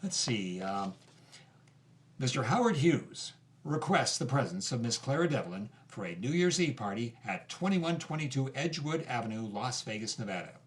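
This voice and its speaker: An actor playing a curious, understated investigator who asks hesitant questions in a conversational audio drama. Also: male voice, medium pitch, very animated, moderate pace, lightly acted. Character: curious, low-key male investigator interviewing the granddaughter.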